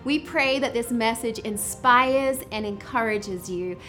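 A woman's voice: on the high side, measured pace, a bit bright. A woman who leads a church reads a short, friendly scripted introduction. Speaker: church leader. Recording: music playing under the voice.

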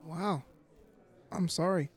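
A man playing a mild, curious young friend in an audio drama, gentle and inquisitive. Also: on the high side, takes it slowly, slightly rough. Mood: sympathetic.

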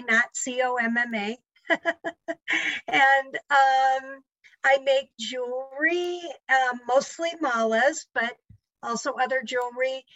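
Bright, high-voiced woman. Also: dry recording, poor audio. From Colorado. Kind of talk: casual self-introduction on a video call.

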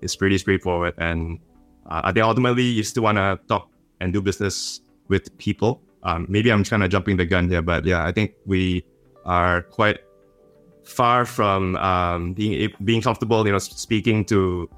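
A man's voice: low-pitched, moderate pace, fairly bright tone, soft background music. A man speaking as a panelist in a panel discussion, hesitant, with many fillers and repeated words.

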